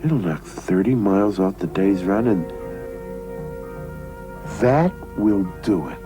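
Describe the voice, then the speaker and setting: An actor playing an anxious middle-aged American gambler, muttering to himself in an agitated, scheming way in a television drama. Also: male voice, low-pitched, lively intonation, slow. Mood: nervous scheming. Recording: loud music behind voice.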